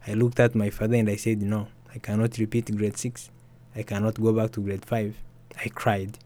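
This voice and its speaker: A young man, an author and musician, telling his story softly and hesitantly in an interview. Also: fairly low pitch, flat delivery, normal speed. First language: Swahili. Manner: soft-spoken, hesitant with restarts and fillers. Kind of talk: reflective personal storytelling.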